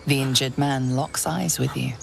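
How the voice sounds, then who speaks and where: A woman acting as the grave, ominous narrator of a dark fantasy video game. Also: extremely low pitch, fairly even intonation, normal speed, gravelly, a little hiss or hum, exaggerated voice. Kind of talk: dramatic second-person fantasy narration. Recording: loud music behind the voice.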